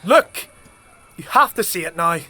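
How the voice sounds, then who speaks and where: Dark fantasy zealot: high, sharp-edged voice, unhinged and fervent, devoted to blood alchemy. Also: male voice, animated, a little slow, exaggerated voice. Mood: fervent, insistent. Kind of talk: dark fantasy audio drama acting. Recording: music behind.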